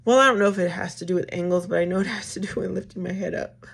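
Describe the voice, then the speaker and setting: A woman in her thirties with a raspy voice, chatting casually to viewers at home in a rambling, friendly way. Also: fairly low pitch, very fast. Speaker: vlogger. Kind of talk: casual get-ready-with-me chat.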